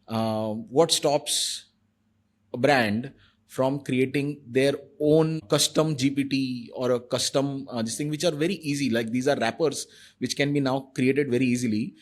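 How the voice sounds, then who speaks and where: A man, a marketing executive, answering interview questions in a thoughtful, explanatory way with frequent fillers. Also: medium pitch.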